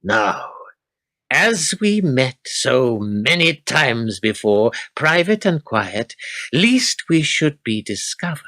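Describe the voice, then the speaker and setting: Actor as a menacing, theatrical feudal lord. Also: male voice, medium pitch, sing-song, slow pace, sharp tone, no echo, exaggerated voice. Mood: sinister, brooding. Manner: archaic, theatrical, menacing speech. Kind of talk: radio play acting.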